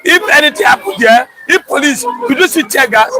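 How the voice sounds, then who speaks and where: A man's voice: very high voice, lively intonation, fairly quick, a crowd in the background. A Nigerian man with a Nigerian accent, arguing emphatically in a street debate and slipping into Pidgin.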